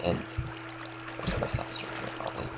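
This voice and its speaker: A young man with a slight cold, casually explaining a game build in a relaxed, rambling way with lots of fillers. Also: quick pace, over loud music. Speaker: game tutorial creator. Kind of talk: casual gameplay tutorial commentary.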